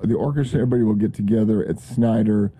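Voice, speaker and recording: A man's voice: fairly low pitch, fast, dark tone, slight background noise, low-quality recording. A man, a church guest on a radio show, asking listeners eagerly for donations. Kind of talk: casual radio interview.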